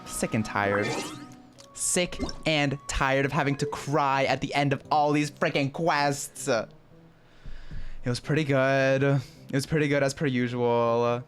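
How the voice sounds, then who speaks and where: Slow-talking streamer musing over game music. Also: medium pitch, steady tone. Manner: informal, slangy, musing aloud. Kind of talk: casual playthrough commentary.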